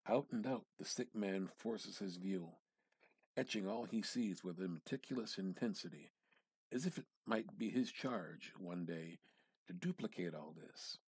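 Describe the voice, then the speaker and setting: A man giving a measured, theatrical reading of a literary short story, drawing out words and pausing for effect. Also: low-pitched, breathy edge, dry recording. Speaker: amateur reader practicing dramatic reading.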